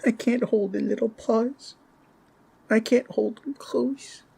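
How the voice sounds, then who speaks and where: A man's voice: extremely high pitch, steady tone, takes it slowly, gravelly, dark tone, breathy edge, full character voice, poor audio. A man playing an anxious, grieving raccoon father in a fantasy roleplay, speaking in a hesitant, emotional way. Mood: distraught. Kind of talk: dramatic roleplay audio acting. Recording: faint storm noise behind. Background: thunder and storm ambience.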